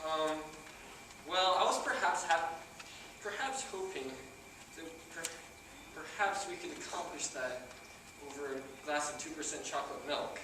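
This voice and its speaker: A man's voice: on the high side, measured pace, long pauses, close to an everyday voice. Man playing a stiff, pedantic geek, echoey stage. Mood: nervous. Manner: stiff, formal, stammering wording. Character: awkward, pedantic young male geek proud of his intellect. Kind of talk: live amateur stage comedy acting. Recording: echoey theater from far off, noisy.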